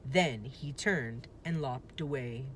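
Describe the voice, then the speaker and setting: A woman's voice: rumbling low, slow pace, a bit bright. A woman reading a children's storybook aloud as a teacher would, narrating the story. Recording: faint background noise.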